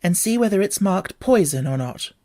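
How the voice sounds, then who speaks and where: A curious little girl from a storybook, acted out by a man, talks to herself in a raised, high voice. She exclaims and scolds herself, and the sound is dry and close. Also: animated, normal speed, warm tone, dry recording. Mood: curious, pondering.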